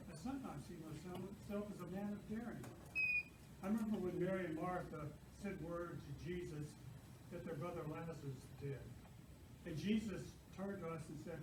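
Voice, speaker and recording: A man playing a troubled, daring apostle in a church stage monologue, speaking earnestly and deliberately. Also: fairly high pitch, lightly acted. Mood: earnest recollection. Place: church, live performance. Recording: echoey church, distant mic, noisy.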